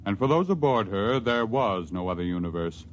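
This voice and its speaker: Low-voiced 1950s radio narrator. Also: male voice, lively intonation, a little hiss or hum. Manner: measured storytelling.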